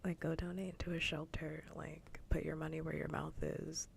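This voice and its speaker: A woman streamer chatting casually in a soft, breathy ASMR whisper close to the microphone, with a wry, conversational way of griping. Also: sits low, flat delivery, moderate pace, slight background noise. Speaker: streamer hosting a live ASMR show.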